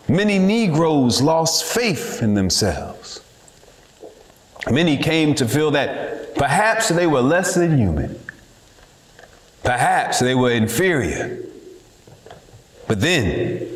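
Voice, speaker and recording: Echoey room, guy reading an old speech dramatically, deliberate orator style, medium pitch. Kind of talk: dramatic reading of a historical civil rights speech.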